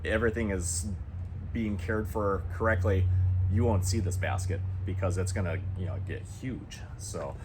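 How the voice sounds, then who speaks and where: A man's voice: low voice, moderate pace. A man with a northern Wisconsin accent, co-hosting a casual chat from inside a greenhouse, telling stories and laughing often. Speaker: greenhouse owner and co-host. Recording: clear background noise.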